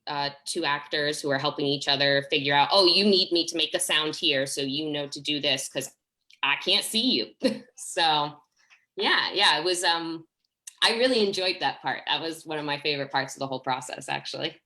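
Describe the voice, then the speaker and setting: A woman's voice: low voice, normal speed, pushed and bright. A woman speaking as a theatre director in a video call discussion, effusive and chatty, often cutting across her own sentences. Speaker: theatre director and fight choreographer.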